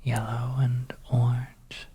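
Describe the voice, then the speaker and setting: A storyteller narrating a suspenseful ASMR tale in a soft, breathy whisper, hushed and gentle with pauses. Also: mid-range voice, level tone. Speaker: audio storyteller.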